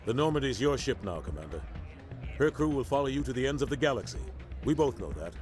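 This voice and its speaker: A mid-pitched male captain voiced by an actor, a steadfast old soldier and mentor, speaks with grave urgency. Music is clearly audible behind the game dialogue. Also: very animated, fast talker, a little mellow, close to an everyday voice. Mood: grave, urgent. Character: steadfast veteran military captain, loyal mentor. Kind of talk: video game voice acting.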